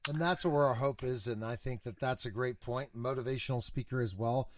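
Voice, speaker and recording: A man's voice: mid-range voice, brisk, no echo. Chatty male radio host, dry sound. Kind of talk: interview hosting.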